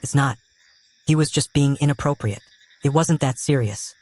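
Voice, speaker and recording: A man's voice: medium pitch, normal speed, a little mellow, natural voice. A man acting an easygoing, self-effacing wizard office head in a fan audio drama, with a casual, understated delivery. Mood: reassuring, casual.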